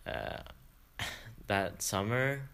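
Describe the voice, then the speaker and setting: A man's voice: lowish voice, expressive, drawn-out words, vocal fry, bright, forceful tone. A young man talking casually on a student podcast, hesitant and often laughing as he trails off. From Sweden. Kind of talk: casual chat.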